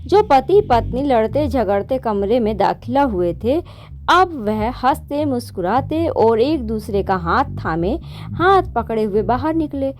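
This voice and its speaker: A woman's voice: fairly high pitch, sing-song, measured pace, darkish tone, slight background noise. A woman hosting and narrating a moral story in a conversational way, correcting herself as she goes. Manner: conversational, with restarts and self-corrections. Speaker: storyteller host.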